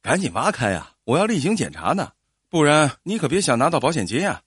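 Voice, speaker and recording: Man acting a brisk, officious insurance adjuster. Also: a little high, sing-song, a bit bright, no room sound, clean studio sound. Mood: stern. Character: stern, indignant male village insurance adjuster.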